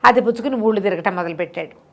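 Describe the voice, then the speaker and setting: A woman's voice: mid-range voice, racing, a bit bright. A middle-aged woman tells a moral story conversationally, repeating phrases for emphasis and explaining the lesson simply.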